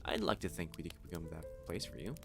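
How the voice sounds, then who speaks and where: A man as a low-voiced, playfully doting catboy waiter. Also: fast, music in the background. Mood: warm, reassuring.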